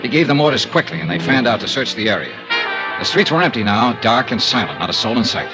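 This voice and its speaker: An actor in a radio noir playing a tough, sardonic American insurance investigator, blunt and hard-boiled. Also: male voice, medium pitch, very animated, fast, loud music behind the voice, low-quality recording. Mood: grim narrating. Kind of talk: 1950s radio noir acting and narration.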